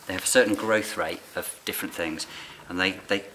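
A middle-aged man, a licensed bird ringer, calmly explaining his fieldwork while handling birds in a farm barn. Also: low voice, a little fast. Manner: calm, quiet, hands-on explaining with fillers. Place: open farm barn on straw, outdoors air. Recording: noisy outdoor camera recording.

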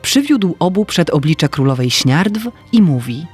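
A woman's voice: sits low, sing-song, measured pace, a little mellow, breathy edge, faint music behind. A woman reading a scripted fairy tale as narrator.

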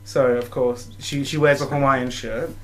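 A man's voice: mid-range voice, steady tone, moderate pace, a little hiss or hum. The man on the couch beside whoever holds the controller, riffing and rambling through a Let's Play. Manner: jokey, rambling riffs. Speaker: gaming video co-commentator. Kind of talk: casual bantering game commentary.

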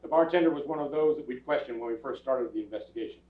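A man's voice: medium pitch, racing, loud music behind the voice, lightly acted. An older man playing a terse, businesslike police detective in a staged radio crime drama, speaking in a matter-of-fact, procedural manner. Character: businesslike, clipped male police detective narrating his case. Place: theatre stage before an audience. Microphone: far camera. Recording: echoey theatre from far off, tinny.

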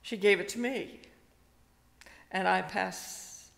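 Older woman, retired pastor, gravelly voice, unhurried sermon, church hall reverb. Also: mid-range voice. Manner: slow, gentle storytelling with pauses. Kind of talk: sermon with a personal story. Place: church sanctuary, indoors. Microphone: stand microphone at a pulpit.

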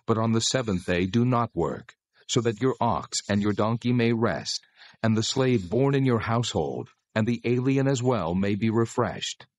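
Guy playing a commanding divine lawgiver, low voice, solemn n measured, close dry audio bible drama. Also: animated, no echo, natural voice. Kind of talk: dramatized scripture reading.

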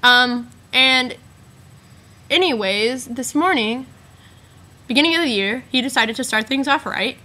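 A woman's voice: high voice, lively intonation, measured pace, pauses often, pushed and bright. A teenage girl from Arizona co-hosting a casual webcam chat at home, teasing and giggly. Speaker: co-host. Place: bedroom at home, indoors. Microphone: built-in laptop webcam mic.

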